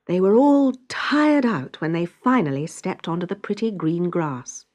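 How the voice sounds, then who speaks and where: A woman reading a children's story as narrator, in an expressive, dramatic storytelling manner. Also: fairly low pitch, unhurried.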